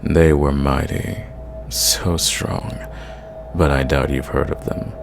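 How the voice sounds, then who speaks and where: A man playing an ancient, sadistic, condescending vampire in an ASMR roleplay, speaking in a theatrical, measured way and drawing out his words. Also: rumbling low, flat delivery, gravelly, over music, full character voice. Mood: wry, reminiscing.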